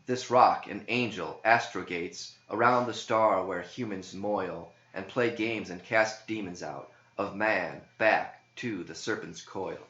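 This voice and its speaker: Slow, deep-voiced man reciting verse. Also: fairly bright tone. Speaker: author reading own verse. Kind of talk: scripted verse narration. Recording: dull, echoey room recording.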